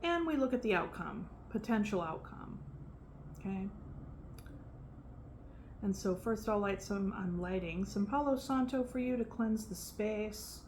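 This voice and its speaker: A soft-voiced woman of medium pitch speaks at an unhurried pace. She chats loosely through a tarot reading and fills her pauses with ums. Faint noise sits behind her in the room. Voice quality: soft-spoken. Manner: relaxed, chatty, frequent fillers. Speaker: tarot reader. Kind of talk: casual tarot reading to camera.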